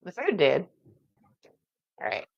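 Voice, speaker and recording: A woman's voice: lowish voice, wildly swooping pitch, slow pace, creaky, no room sound, lo-fi sound. A woman hosting a cooking show in a home kitchen, explaining steps in a folksy, chatty way as she works. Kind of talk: casual cooking demonstration.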